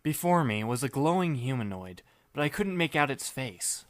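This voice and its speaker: A man playing a sarcastic, weary teenage boy who narrates in a deadpan voice. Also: mid-range voice, normal speed, dry recording, studio quality, natural voice. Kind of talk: first-person audiobook narration.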